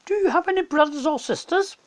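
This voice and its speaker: Man putting on a shrill, haughty witch queen. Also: brisk. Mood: coaxing. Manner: haughty, commanding. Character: imperious, stern witch queen of a fantasy land.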